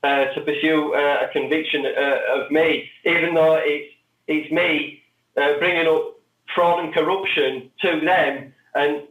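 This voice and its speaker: Higher-voiced man on tinny, echoey line. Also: fairly even intonation, a little slow, recorded from a distance. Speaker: interview guest. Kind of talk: animated discussion of legal cases.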